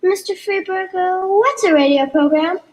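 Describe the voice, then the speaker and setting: A woman's voice: very high-pitched, moderate pace, very noisy. A woman playing a curious, polite ten-year-old girl with an innocent, earnest way of asking questions in a comedy sketch. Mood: innocent curiosity. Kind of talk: scripted radio comedy sketch.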